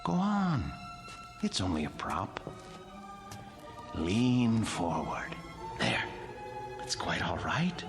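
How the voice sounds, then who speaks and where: Brooding curator, audio drama, low-pitched, swooping intonation, theatrical, precise, music underneath. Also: male voice, huge pitch swings, very slow, pauses often, exaggerated voice. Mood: macabre, theatrical. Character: devoted, brooding middle-aged male wax museum curator, deferential and intense.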